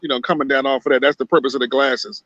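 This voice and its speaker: Upbeat man with a bright, dry voice. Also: mid-range voice, little pitch movement, fast, no room sound, muffled recording. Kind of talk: casual chat on a video call.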